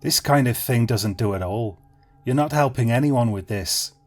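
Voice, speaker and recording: A man voicing a tipsy grown man wandering woods at night, talking gruffly to himself in a storybook. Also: mid-range voice, moderate pace, a little mellow, lightly acted. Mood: muttering.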